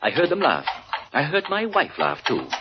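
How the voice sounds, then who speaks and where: Stiffly dignified colonel on noisy old radio. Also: male voice, fairly high pitch, wildly swooping pitch, moderate pace. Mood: earnest. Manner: formal, curt, stiffly dignified. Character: proud wealthy male colonel, family man, coldly indignant. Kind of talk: 1950s radio drama acting. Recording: muffled old radio broadcast, loud noise.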